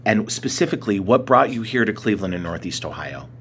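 A man's voice: lowish voice, animated, quick pace, a bit bright, slight background noise. A man hosting a podcast, reading a friendly welcome and asking his guest questions. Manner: friendly, reads scripted intros. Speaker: podcast host. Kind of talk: podcast interview.

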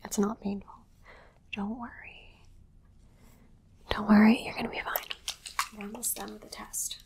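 Woman as an alien doctor doing asmr exam, breathy whisper, calm n clinical, clear background noise. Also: medium pitch. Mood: soothing, clinical. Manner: soft, unhurried, repetitive, clinically reassuring. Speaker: ASMR performer.

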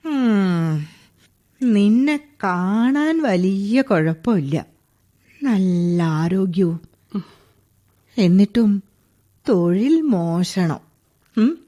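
Stern old lady scolding a burglar, very slow and warm, radio drama. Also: mid-range voice, expressive. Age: older. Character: stern elderly woman catching a burglar. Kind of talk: 1980s-style radio play acting.

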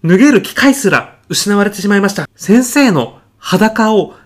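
A man playing an earnest physicist in an audio comedy sketch, speaking formally and reverently of his mentor with deadpan, grandiose solemnity. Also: sits high, normal speed. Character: earnest, reverent male physicist, devoted protégé of late professor.

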